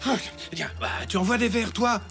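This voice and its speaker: A man playing a weary, down-to-earth father in a French animated comedy, matter-of-fact and exasperated. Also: high-pitched, normal speed, vocal fry, loud music behind the voice.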